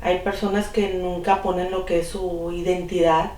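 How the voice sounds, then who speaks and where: A woman in her thirties being interviewed, speaking in an earnest, measured way. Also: fairly low pitch, little pitch movement, darkish tone, reverberant, recorded from a distance, slight background noise, rough recording. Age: 30s. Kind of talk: documentary interview.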